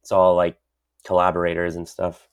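A male host with a very deep voice leads a casual interview. He sounds keen and chatty, peppering his talk with fillers as he reacts to the guest. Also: completely flat delivery, normal speed, clear, resonant tone. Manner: enthusiastic, chatty, uses "like" a lot. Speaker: podcast host.

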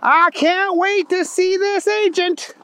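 A man's voice: very high voice, steady tone, slow, sharp tone, voice pushed up, exaggerated voice. A man voicing a naive, eager goofball in a children's story, exclaiming with simple enthusiasm. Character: hapless, hot-dog-loving, naive, eager goofball man.

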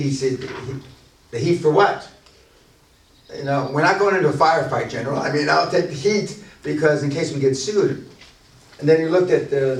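A man's voice: mid-range voice, a little hiss or hum. Man, seminar leader, blunt and profane, boastful, rambling, echoey room, distant mic. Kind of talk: unscripted seminar talk to a room.

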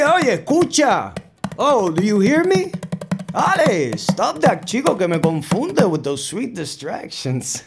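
A streetwise Puerto Rican bandleader from El Barrio in an audio play. His voice sits a little high, with a Puerto Rican New York accent. He slips between English and Spanish, playful and reflective as he tells his story. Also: full character voice. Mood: excited, insistent. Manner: Spanglish code-switching, streetwise, conversational storytelling. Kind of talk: dramatic monologue in audio play.

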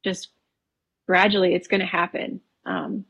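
A woman from Wisconsin in her thirties, a painter talking casually as a podcast guest, relaxed and chatty with frequent ums. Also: lowish voice, a little slow, gravelly, highs cut off. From Wisconsin, USA. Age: 30s. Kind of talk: casual interview conversation.

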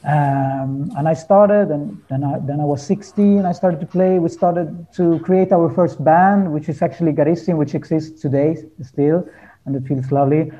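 High-pitched, mellow-voiced man chatting. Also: fairly even intonation, normal speed, dull audio. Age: 30s. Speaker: guest musician, hurdy-gurdy player. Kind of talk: casual interview storytelling.

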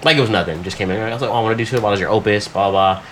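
A man's voice: fairly low pitch, racing, a little hiss or hum. A man telling stories in casual podcast banter, slangy and profane, often acting out what people said. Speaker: podcast co-host.

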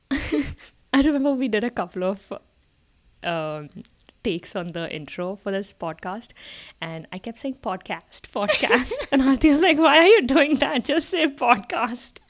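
A woman's voice: fairly high pitch, lively intonation, measured pace. A woman in her thirties with an Indian accent, co-hosting a podcast in a casual chat, explaining things with fillers and laughs. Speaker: podcast co-host.

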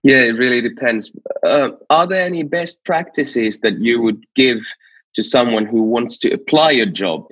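A man hosting a conversational interview, putting questions to a guest over dull, low-fidelity audio. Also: moderate pace, a bit bright, breathy edge, no echo. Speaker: interviewer, panel host.

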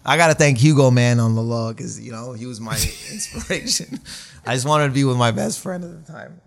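A man's voice: mid-range voice, brisk, gravelly. A man in his late thirties from Canada, a stand-up comedian telling stories in a casual interview, rambling and self-deprecating with slangy asides. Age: 30s.

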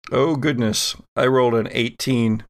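A man runs a horror tabletop game as its narrator. He speaks slowly and descriptively, with a slightly creaky, mid-pitched voice. The sound is dry and close. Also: fairly even intonation, no room sound. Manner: measured, descriptive storytelling. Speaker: game master.

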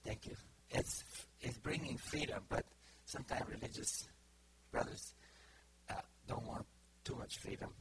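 A middle-aged Bulgarian man speaks to his church in a loose, informal sermon, his speech full of stops, fillers and restarts. He speaks English with a Bulgarian accent. The live recording carries a clear layer of background noise. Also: medium pitch, fairly even intonation. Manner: halting, many fillers and restarts. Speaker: preacher.

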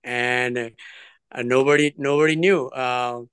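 A man's voice: medium pitch, fairly even intonation, takes it slowly, fairly bright tone, clean tone, dry recording. A man, telling his life story as an interview guest in an earnest, digressive, hesitant way. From Nepal. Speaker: trekking guest.

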